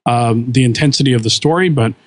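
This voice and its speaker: A man on a podcast chats eagerly in a middle-pitched voice and keeps restarting his thoughts. The sound is dry and close, with no room around it. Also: fast, no room sound. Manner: enthusiastic, rambling with frequent restarts. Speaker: podcast co-host. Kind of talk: casual podcast chat.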